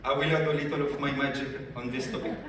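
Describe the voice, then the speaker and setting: A man from France with a strong French accent gives a lively business training talk at a convention, often asking the audience questions. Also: medium pitch, quick pace, a little hiss or hum. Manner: energetic, addresses audience directly with questions. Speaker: business development director. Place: large convention hall. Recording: echoey hall, speaker far from mic.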